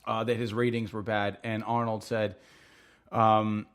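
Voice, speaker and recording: A man's voice: fairly low pitch, level tone, a little slow, a bit bright, clean tone. A man co-hosting a podcast, chatting casually in a rambling way full of fillers. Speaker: podcast co-host. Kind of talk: casual chat.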